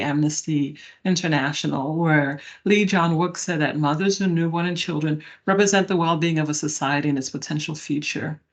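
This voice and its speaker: Lady giving a webinar talk, kinda low voice, chatty and emphatic. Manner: conversational, emphatic, addresses audience with "y'all" and "right?". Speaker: presenter. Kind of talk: webinar presentation.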